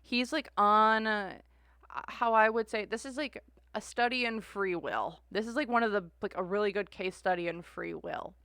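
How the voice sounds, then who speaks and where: A woman's voice: medium pitch, normal speed, fairly bright tone. A woman doing a casual tarot reading and talking in a loose, rambling way. She fills her sentences with "like" and "uh." Her voice is dry and close, with no room echo. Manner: rambling, heavy use of "like" and "uh". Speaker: tarot reader.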